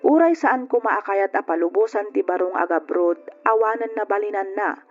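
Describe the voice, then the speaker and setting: A woman's voice: mid-range voice, moderate pace. A woman with a Filipino Ilocano accent giving scripted narration as the host of a radio drama. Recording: dull lo-fi radio with background music. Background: faint music.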